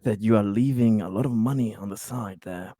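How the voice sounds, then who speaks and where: A man's voice: fairly low pitch, brisk, mellow, no room sound, clean studio sound, close to an everyday voice. A man playing a cautious, polite negotiator in a tabletop game, speaking in a very hesitant, stammering way. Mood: tentative, probing. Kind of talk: improvised tabletop roleplay.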